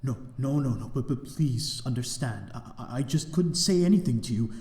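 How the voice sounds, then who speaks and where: Man playing a dark-voiced, halting, lonely vampire. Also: mid-range voice, animated, roomy sound, voice pushed up, close to an everyday voice. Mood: anxious pleading. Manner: halting, stammering, formal old-fashioned phrasing. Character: lonely, remorseful male vampire of a desolate manor. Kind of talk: scripted ASMR audio roleplay.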